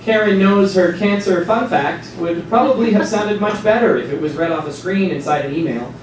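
A man's voice: high-pitched, normal speed. A man reading scripted dramatic narration on stage. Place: small stage before a seated audience. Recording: distant mic, very echoey hall, noisy.

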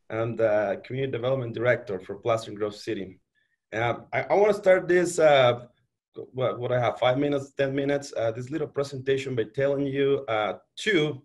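A man in his thirties with a Mexican accent, presenting as a city planning official on a video call, emphatic and full of fillers and repeated words. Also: medium pitch. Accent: Mexican Spanish. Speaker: city community development director. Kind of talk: conference panel presentation. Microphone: webcam on video call.

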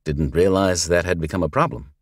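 A man voicing a calm, steely male lawman who speaks dryly and pointedly. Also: deep voice, fairly quick, dry recording, studio quality.

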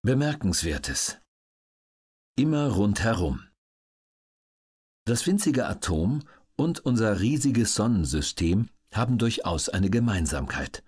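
A man's voice: fairly low pitch, big pitch swings, takes it slowly, long pauses, a little mellow, breathy edge. A man reads scripted educational narration as an audiobook narrator.

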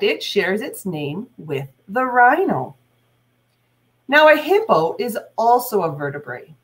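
Slow-paced, lively-pitched woman teacher. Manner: deliberate, with questions put to young listeners. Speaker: teacher reading aloud to children. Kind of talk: read-aloud with explanatory asides to children. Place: small room at home, indoors.

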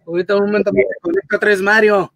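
A man with a high voice asks short, friendly questions over a headset mic. The sound is close and dry, with no room around it. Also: little pitch movement, fast, vocal fry, a bit bright, dry recording. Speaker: interviewer. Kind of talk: casual interview over video call. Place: home room indoors.

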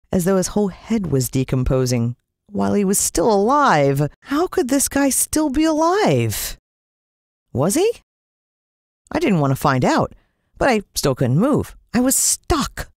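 A woman's voice: fairly low pitch, a little mellow, no echo, studio quality. A woman with an American accent narrating a story in a dramatic, suspenseful way, drawing out words. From United States. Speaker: audiobook narrator.

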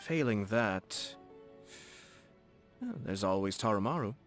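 Polished young game nobleman, low soft voice, formal, measured, gently teasing, music behind. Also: animated. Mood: gentle, playful. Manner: formal, measured, politely playful. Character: refined, courteous young nobleman commissioner, composed and gently teasing. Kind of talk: video game character dialogue. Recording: studio voice over game music. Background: game background music.

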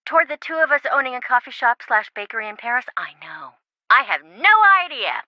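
An actress playing a bubbly, scatterbrained young best friend in a video game, chatty, playful and melodramatic, in band-limited audio. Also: female voice, a little high, sing-song, fairly quick, no room sound, highs cut off. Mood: playful, excited. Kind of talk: video game phone-call dialogue.

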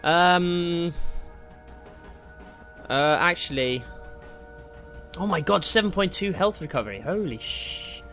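A man giving casual, excitable commentary while playing a video game, jokey and slangy, with exclamations and swearing. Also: sits high, slow pace, pauses often. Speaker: gaming video host. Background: game music.

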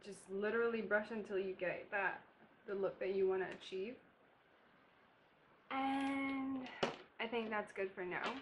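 A woman's voice: mid-range voice, steady tone, unhurried, long pauses, background noise. Chatty young woman from Barbados. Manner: chatty, casual, laughing asides while applying makeup. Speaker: beauty vlogger. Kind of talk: chatty makeup tutorial. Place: bedroom, indoors.

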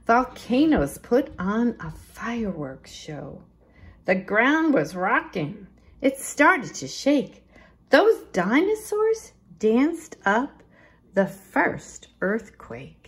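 Slow, animated woman reading a picture book. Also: mid-range voice. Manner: expressive, deliberate, emphasising rhymes and rhythm. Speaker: children's librarian reading a storytime. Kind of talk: picture-book read-aloud for children.

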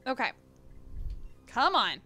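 A woman's voice: fairly high pitch, huge pitch swings, takes it slowly, pushed and bright, dry recording, soft background music. A young woman live streaming a video game with casual, giggly commentary and some easy swearing.

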